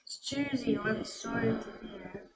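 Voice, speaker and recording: A young reader reading a children's picture book aloud, haltingly, stumbling over and repeating words. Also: mid-range voice, a crowd in the background. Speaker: story reader.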